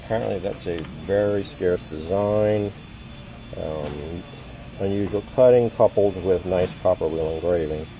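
A man selling antiques, calmly describing each item and its price, with frequent pauses and ums, filmed on a phone at a show booth. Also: low voice. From United States. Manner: slow, matter-of-fact, pausing with ums. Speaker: antiques dealer. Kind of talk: walking sales tour of items. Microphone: phone camera held while filming. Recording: noisy show floor behind.

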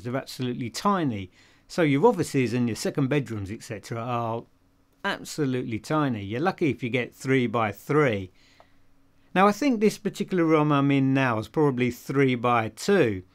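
An older man with a British accent giving a casual product review to camera in a conversational, emphatic way, posing questions and answering them himself. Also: medium pitch. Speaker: audio dealer and video reviewer. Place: small home office room, indoors.

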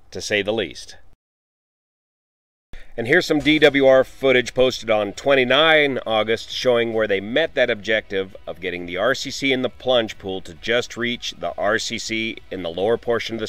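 A man reading a scripted voice-over narration for a construction tour video, explaining the technology in a measured way. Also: fairly low pitch, a bit bright.

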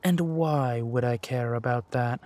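A man playing a vengeful, ruthless villain in an audio drama, with a husky voice and a deliberate, menacing, mocking delivery. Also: mid-range voice, darkish tone, no room sound, lightly acted. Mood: cool menace.

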